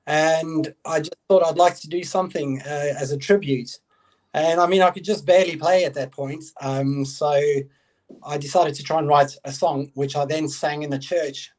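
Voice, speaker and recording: A man with a somewhat high voice tells his story slowly and hesitantly. He stops often on "um" and restarts his sentences. The tone stays plain and conversational. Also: darkish tone. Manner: hesitant, many "um"s. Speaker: band guitarist and songwriter, guest. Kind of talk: casual podcast chat.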